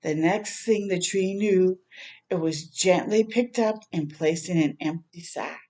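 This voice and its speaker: A woman reading a picture book aloud to young children in a gentle, expressive storytime manner. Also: on the low side, measured pace. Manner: gentle, expressive, prompting listeners with questions.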